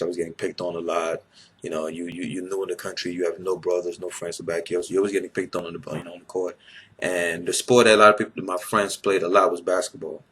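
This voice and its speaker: A man's voice: low-pitched, fairly bright tone, slightly rough. A tired young man from Ivory Coast, a native French speaker, telling a casual story to his viewers with blunt swearing and rambling asides. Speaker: vlogger.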